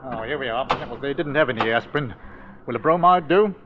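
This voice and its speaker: An actor playing a courteous but firm and suspicious police inspector in a 1940s radio drama, probing and formal. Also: male voice, medium pitch, lively intonation, brisk, a little hiss or hum, natural voice. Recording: dull old radio recording.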